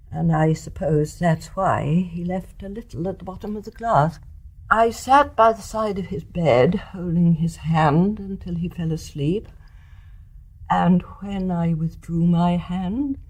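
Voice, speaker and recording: A husky older British mother, grave. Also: lowish voice, unhurried, a little mellow. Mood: quietly resolute. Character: grave, resolute older English mother confessing her deed. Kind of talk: radio drama acting.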